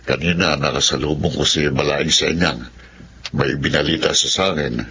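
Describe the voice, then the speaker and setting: A man's voice: very deep voice, fairly even intonation, moderate pace, pushed and bright, heavily acted. A man with a Filipino accent playing a concerned, fatherly older man in a radio drama. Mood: gossipy, calm. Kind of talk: Tagalog radio drama acting. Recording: dull lo-fi radio, faint noise.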